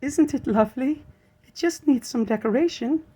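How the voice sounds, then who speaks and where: Guy putting on a gentle young fairy tale girl, high and wistful, storybook style. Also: normal speed, breathy edge. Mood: earnest. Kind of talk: children's storybook character voice.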